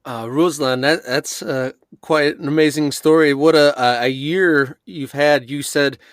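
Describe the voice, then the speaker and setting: Male podcast interviewer, conversational. Clean resonant tone, close dry recording, measured phrasing with pauses. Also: on the high side, steady tone, clear, resonant tone, dry recording. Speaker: podcast host.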